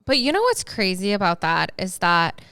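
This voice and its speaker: A woman in her twenties co-hosting a casual podcast, chatty and animated, laughing easily as she talks. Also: mid-range voice, moderate pace, clear, resonant tone, no room sound, studio quality, soft background music. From United States. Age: 20s. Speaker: podcast co-host.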